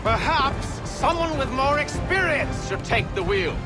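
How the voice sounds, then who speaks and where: A man's voice: very high-pitched, lively intonation, unhurried. An actor playing an impatient, commanding father in a sea chase, urgent and scolding, in a dramatic video game. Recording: loud music over the voice.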